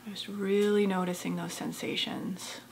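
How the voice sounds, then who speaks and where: A woman therapist leading a guided meditation in a gentle, soft-spoken, unhurried way with long pauses. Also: medium pitch, little pitch movement, creaky, slight background noise.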